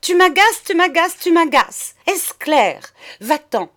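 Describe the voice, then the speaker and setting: A woman's voice: extremely high pitch, big pitch swings, takes it slowly, a bit bright, pitched above the performer's own voice, exaggerated voice. A woman voicing a furious, foul-mouthed bourgeois lady in a story, explosive and full of contempt. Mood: exasperated, shrill. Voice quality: hoarse, harsh shriek.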